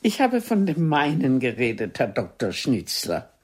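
Haughty, slow-spoken stage diva. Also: female voice, a bass voice, huge pitch swings, a little mellow, breathy edge, heavily acted. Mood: haughty, biting. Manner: theatrical, haughty, deliberate delivery. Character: proud, bitter, sharp-tongued aging stage actress. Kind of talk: radio drama dialogue acting.

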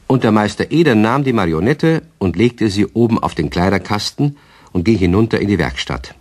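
A man narrating a children's radio play in a calm, expressive storytelling manner. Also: on the low side, a little fast, no room sound.

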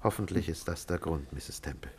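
Husky, deep-voiced worried doctor. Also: male voice, brisk, exaggerated voice. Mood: worried, helpless. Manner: formal, hesitant, troubled. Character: worried middle-aged male psychiatrist, polite, distressed father. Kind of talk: 1950s German radio play acting. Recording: 1950s radio drama recording.

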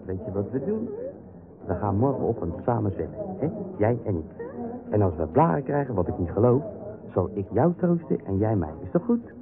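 A man's voice: fairly low pitch, very animated, moderate pace, background noise. An actor playing a blunt, cocky, flirtatious village man in a radio comedy, talking colloquially. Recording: narrow-band old radio, crowd behind.

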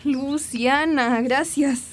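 Woman acting as very high-voiced girlish daughter. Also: fairly even intonation, extremely slow. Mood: obliging. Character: affectionate young daughter returning home, girlish and tender. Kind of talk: radio drama acting. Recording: noisy, with clicks.

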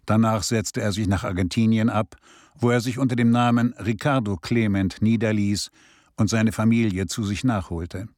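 An actor playing a sober Israeli radio journalist, narrating a documentary radio play in a measured, deliberate way. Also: male voice, fairly low pitch, a little mellow, no echo, studio quality, lightly acted.

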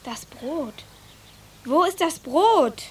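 A woman's voice: high-pitched, lively intonation, drawn-out words, heavily acted. A woman playing an earnest, protective young peasant girl with a hot temper in a radio drama. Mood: accusing, furious. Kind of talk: German radio drama acting.

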